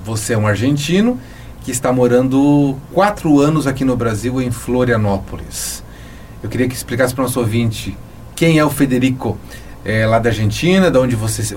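Brazilian man hosting, medium-pitched voice. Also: moderate pace, darkish tone, a little hiss or hum. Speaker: interviewer, show host. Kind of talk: casual conversational interview.

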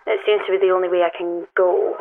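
A woman's voice: lowish voice, little pitch movement, fast, slight background noise. An actress playing a troubled, hesitant woman climber talking naturally over a phone line in an audio drama. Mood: tentative, curious. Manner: hesitant, conversational. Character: weary, troubled young woman climber calling a friend. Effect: telephone filter. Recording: tinny phone line with music.

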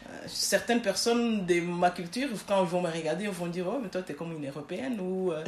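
A woman's voice: on the low side, a little fast. A woman from Congo, raised in Belgium, answering interview questions earnestly with many hesitations. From born in Congo, raised in Belgium. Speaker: podcast guest. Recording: echoey room with faint ambience.

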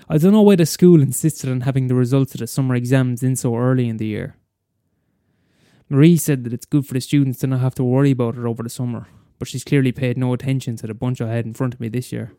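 A man's voice: mid-range voice, mellow, dry recording, clean studio sound, natural voice. A man playing a bitter, weary middle-aged schoolteacher in a wry, wordy confessional story monologue. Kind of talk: first-person dramatic monologue.

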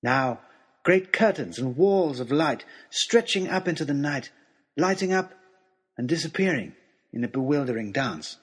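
A man playing an earnest, lovestruck gentleman adventurer who tells his journey in formal, old-fashioned, expressive storytelling. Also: medium pitch, moderate pace, husky. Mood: awed. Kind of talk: dramatised first-person storytelling, as a letter to a lady.

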